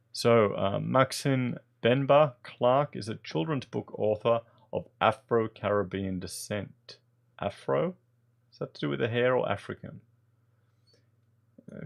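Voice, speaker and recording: A man's voice: mid-range voice, creaky. A man in his thirties whose first language is German, reading a news article aloud and breaking in with sarcastic, exasperated commentary. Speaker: political commentator.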